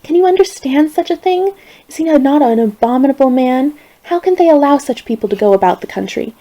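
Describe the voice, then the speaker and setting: A woman's voice: a little high, animated, normal speed, creaky, dark tone, highs cut off. A woman playing a proud desperate young mother. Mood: outraged.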